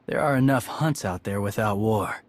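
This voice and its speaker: Actor as a husky, laconic young warrior. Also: male voice, fairly low pitch, normal speed, natural voice. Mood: understated. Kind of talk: video game voice acting.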